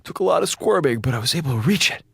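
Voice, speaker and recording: An actor in a radio drama playing a sick, captive space station officer, sarcastic, rambling and frantic. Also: male voice, a little high, lively intonation, racing, creaky, fairly bright tone, breathy edge, no echo, clean studio sound, full character voice. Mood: panicky, determined. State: feverish, coughing.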